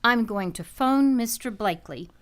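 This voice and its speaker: Woman acting an exasperated, high-voiced radio sitcom wife. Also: lively intonation, normal speed, lightly acted. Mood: exasperated, scolding. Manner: scolding. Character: exasperated, nagging wife and mother. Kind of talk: old-time radio comedy acting.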